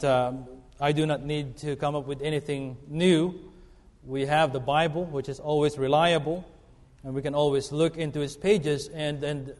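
Brief: middle-aged man, guest preacher, slightly high voice, earnest and halting, church hall reverb. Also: darkish tone. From Philippines. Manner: earnest, halting with fillers and restarts. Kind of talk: sermon. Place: church sanctuary.

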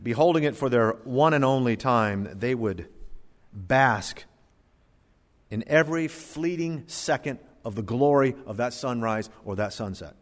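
A middle-aged male pastor delivers a sermon, his medium-pitched voice carrying a long reverb through the church sanctuary. He swings from loud, emphatic bursts down to quiet, low asides. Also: expressive, a little slow.

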